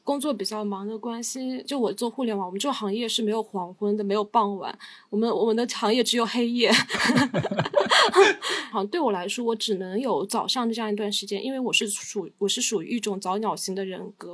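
A woman's voice: mid-range voice, a little fast. A woman speaking as a guest in a casual chat, telling everyday anecdotes and given to easy laughter. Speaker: podcast guest.

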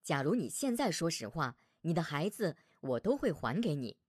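A man's voice: on the high side, big pitch swings, breathy edge, no room sound, studio quality. Man putting on a solemn, swooping heavenly holy woman. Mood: stern, admonishing. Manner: measured, solemn admonishing. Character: the Virgin Mary, stern yet merciful heavenly woman. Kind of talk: storybook character voice.

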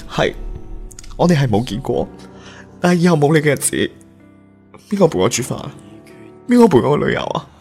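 A man's voice: on the high side, very animated, fairly quick, long pauses, darkish tone. A man playing a devoted, lovelorn young groom in radio drama. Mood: heartbroken. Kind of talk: Cantonese radio drama acting. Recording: music under the voice.

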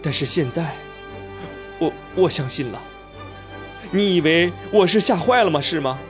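A man's voice: a little high, animated, moderate pace, music in the background, natural voice. An actor playing a skeptical, stubborn male journalist in a radio drama, speaking in an emotional, protesting way. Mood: shaken, defensive.

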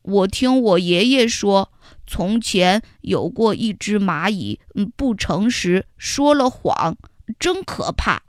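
A woman voicing a friendly, honest little ant who explains things slowly and patiently in a lower voice. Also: animated, dry recording, studio quality. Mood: earnest.

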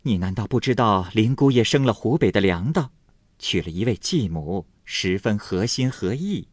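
A man voicing a sharp-tongued young married woman who teases in brisk, mocking chatter. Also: fairly low pitch, animated, no room sound. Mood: teasing.

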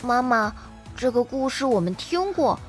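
A woman's voice: on the high side, lively intonation, normal speed, faint music behind, close to an everyday voice. A woman playing a frightened, trusting small child in an audio drama, with a childlike, plaintive manner. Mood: timid, trusting.

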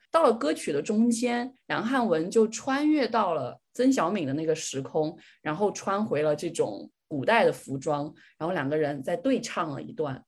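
A woman's voice: fairly low pitch, slightly rough. A woman podcast host chatting casually, sharing her opinions like an enthusiastic fan.

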